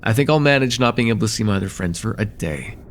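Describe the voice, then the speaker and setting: A man's voice: fairly low pitch, animated, quick pace, slight background noise, close to an everyday voice. A young man playing a friendly, slightly nervous college student in a casual, stammering slice-of-life ASMR roleplay chat. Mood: shy, hopeful. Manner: hesitant, stammering, self-deprecating, casual.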